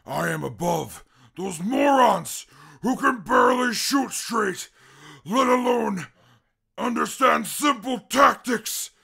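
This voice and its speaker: A man playing an educated, short-tempered super mutant in an audio drama, with formal speech and a gravelly, husky voice. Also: very high voice, animated, exaggerated voice. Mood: contemptuous rage. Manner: formal, deliberate speech flaring into shouted outbursts, then apologizing. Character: educated super mutant brute, proud, short-tempered, self-styled genius. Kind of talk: post-apocalyptic audio drama acting.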